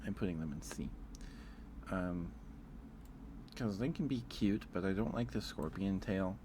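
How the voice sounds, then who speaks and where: A soft-spoken man hosting a casual ASMR stream, chatting with viewers and musing aloud in a hesitant way. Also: deep voice, measured pace, noisy background.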